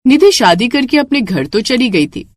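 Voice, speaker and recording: A woman's voice: on the high side, sing-song, normal speed. A woman narrating a scripted moral story for a cartoon.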